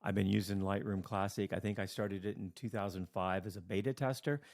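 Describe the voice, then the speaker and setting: Middle-aged male teacher, worn out, fry in a lowish voice, rambling vlog delivery. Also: fairly even intonation, brisk, darkish tone. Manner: rambling, many fillers. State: tired, yawning late at night. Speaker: teacher and video creator. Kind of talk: casual software walkthrough.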